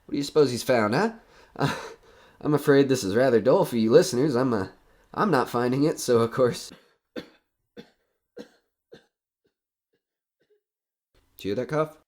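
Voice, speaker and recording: A man playing a nervous radio reporter who is coming unravelled in a horror audio drama, talking in a halting, rambling way. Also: mid-range voice, darkish tone, close to an everyday voice. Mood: uneasy chatter.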